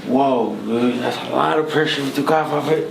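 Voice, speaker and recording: A man's voice: medium pitch, normal speed. A man chatting casually as a patient during a chiropractic treatment. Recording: echoey room with clear background noise.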